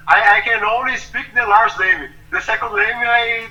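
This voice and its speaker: A man, speaking halting English and repeating words on a video call. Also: very high voice, steady tone, bright, forceful tone. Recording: dull, echoey distant call audio.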